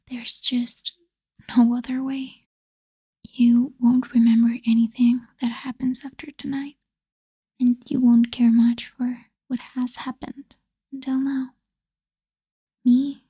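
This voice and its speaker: Woman acting a whispering, breathy lovesick vampire girl. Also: fairly high pitch, warm tone, no room sound, heavily acted. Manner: slow, pleading, intimate delivery. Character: possessive lovesick female vampire, tender yet menacing. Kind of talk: ASMR roleplay, intimate whispering.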